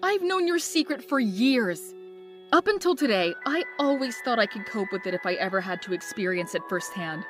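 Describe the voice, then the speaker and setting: A woman's voice: mid-range voice, animated, normal speed, faint music behind, close to an everyday voice. A woman playing a young, worried friend of a superhero in a comic dub, with an emotional, dramatic delivery. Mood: distraught. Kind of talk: melodramatic comic dub acting.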